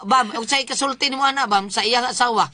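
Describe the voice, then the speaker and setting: A woman's voice: fairly high pitch, quick pace, bright, forceful tone. A woman with a Visayan Filipino accent, hosting a talk show with casual conversational commentary.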